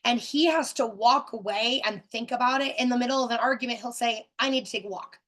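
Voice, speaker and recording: A woman in her thirties hosting a webinar, talking in a direct, energetic way with lots of fillers and restarts. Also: fairly high pitch, brisk. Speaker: webinar host. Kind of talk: casual teaching talk.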